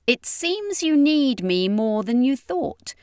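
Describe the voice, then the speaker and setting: A woman's voice: sits high, sing-song, slow pace, a little mellow, clear, resonant tone, dry recording, clean studio sound, pitched above the performer's own voice, full character voice. A woman voicing a bitter, proud male vampire king in a fantasy audiobook, defiant and threatening.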